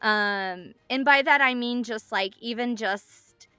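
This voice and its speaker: A woman's voice: on the high side, slow pace, pushed and bright, smooth, no echo. A woman voice actor speaking with enthusiasm about a character she voices, in an interview. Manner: enthusiastic, affectionate. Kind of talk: interview recollection.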